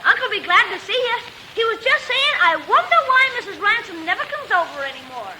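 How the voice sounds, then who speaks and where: A man's voice: very high voice, very animated, quick pace. A man playing a mischievous young boy in a 1940s radio comedy, mock-polite and wisecracking. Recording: faintly noisy old 1940s radio recording.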